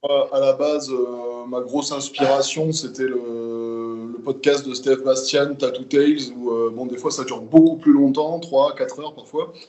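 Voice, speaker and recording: Host: mellow-voiced French man in his thirties, hesitant delivery, echoey room. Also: mid-range voice, steady tone, measured pace, few pauses. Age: 30s. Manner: hesitant, many fillers, friendly. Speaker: podcast host. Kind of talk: casual podcast interview. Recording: roomy echo.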